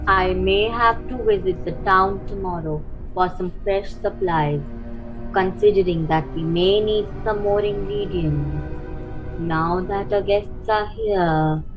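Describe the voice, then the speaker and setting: A woman playing an obliging but sinister castle servant in a radio play, speaking formally and deliberately. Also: mid-range voice, lightly acted. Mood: ominously matter-of-fact. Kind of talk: amateur radio play acting. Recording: dull lo-fi sound, music behind. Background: music.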